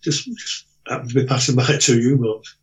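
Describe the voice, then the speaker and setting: A man's voice: mid-range voice, steady tone, moderate pace. A man chatting casually in a hesitant, rambling way, telling anecdotes as a regular on a talk show. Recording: dull lo-fi voice connection.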